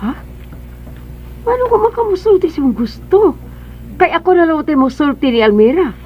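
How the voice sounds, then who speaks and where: A woman's voice: high-pitched, sing-song, fairly quick. A woman acting a suspicious, insistent bride-to-be in a radio drama, with a Cebuano Filipino accent. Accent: Filipino, Cebuano-speaking. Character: suspicious, insistent bride-to-be questioning her man. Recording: dull band-limited radio drama audio.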